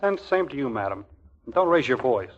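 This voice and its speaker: An actor playing a put-upon, exasperated young army lieutenant in a 1940s radio comedy, on a muffled old broadcast. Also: male voice, medium pitch, big pitch swings, brisk. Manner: stammering, put-upon, grumbling. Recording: dull, band-limited 1940s radio broadcast.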